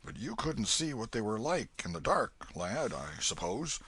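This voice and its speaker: A man voicing a kindly, gruff old Welshman with a booming, fatherly manner. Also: sing-song, measured pace, a bit bright, dry recording, full character voice. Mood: storytelling.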